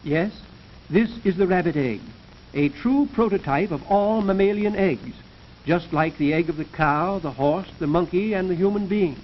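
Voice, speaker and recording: High-voiced man narrating vintage educational film. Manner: formal, measured lecturing. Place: recorded voice-over for a film. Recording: dull, noisy 1930s film soundtrack.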